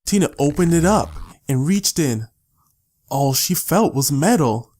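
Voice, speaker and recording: A man narrates an audiobook story in scripted prose. His voice is pitched a little high for a man. He tells the tale as the narrator, apart from the characters. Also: lively intonation, a little slow, a little mellow.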